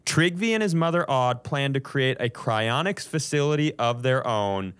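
A man's voice: medium pitch, unhurried, fairly bright tone, clean tone. A man hosting a comedy podcast, telling a story from notes in a casual, profane way and often chuckling as he goes.

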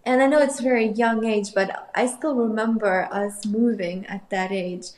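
A woman's voice: medium pitch, fairly even intonation, normal speed, darkish tone. A woman from Russia, an artist guest, musing thoughtfully and hesitantly in a casual interview. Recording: roomy echoey sound.

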